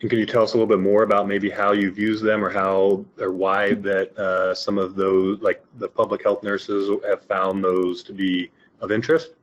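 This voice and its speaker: A man's voice: lowish voice, flat delivery, normal speed, low-quality recording. A man moderating a webinar, asking questions in a hesitant way with many restarts and fillers. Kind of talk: casual interview questions.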